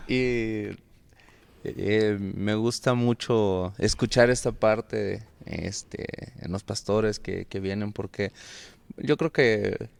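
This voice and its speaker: Low-voiced podcast host, smooth yet gravelly. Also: male voice, fairly even intonation, very slow. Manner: friendly, with fillers and laughs. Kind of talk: podcast interview.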